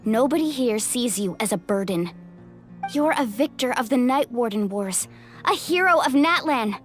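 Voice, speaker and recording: A high, youthful female voice acted by a woman plays a loyal young heroine in a video game. She sounds earnest and protective, pressing her words with determination over faint music. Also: lively intonation, normal speed, natural voice. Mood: firm, encouraging. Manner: earnest, emphatic, warm encouragement. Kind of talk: English video game voice acting.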